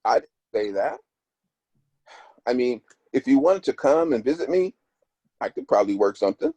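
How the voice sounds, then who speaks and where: A man's voice: mid-range voice, big pitch swings, moderate pace, mellow, no room sound, dull audio. An actor at a table read playing a serious professional man who speaks firmly. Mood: defensive. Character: stern male law firm boss, measured and firm; also plays a frustrated long-distance boyfriend.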